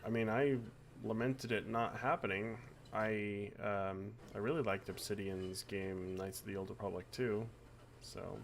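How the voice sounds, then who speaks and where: A man with a hoarse, gravelly, groggy voice, pitched fairly low, talks casually while playing a game on a live stream. He reads viewer comments and chats with his co-host. A faint hum of noise sits under his voice. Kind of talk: casual gaming chat.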